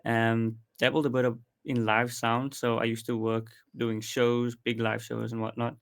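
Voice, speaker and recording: A man's voice: mid-range voice, little pitch movement, unhurried, gravelly. A man working as an audio editor, answering interview questions in a plain, matter-of-fact way with some fillers.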